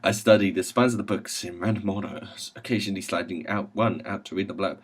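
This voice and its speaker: A man hosting a gaming video, reading visual novel text aloud with casual asides and halting stumbles over the words. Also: deep voice, husky. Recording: music playing behind the voice.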